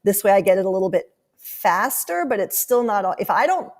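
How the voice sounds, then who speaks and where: A woman's voice: mid-range voice, big pitch swings, fairly quick, a little mellow. A woman giving conversational sports analysis as a studio analyst guest.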